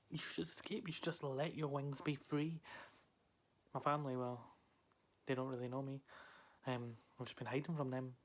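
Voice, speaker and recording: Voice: medium pitch, natural voice. An actor playing a weary but defiant caged male parrot in a solo theatrical monologue, speaking in an emphatic, conversational way. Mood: weary, wistful.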